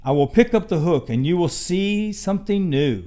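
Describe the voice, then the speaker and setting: Man putting on a showy, high-pitched storybook cat. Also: animated, moderate pace, dark tone. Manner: boastful, theatrical, sing-song. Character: showy, playful, mischievous talking cat, boastful entertainer. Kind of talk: children's storybook read aloud.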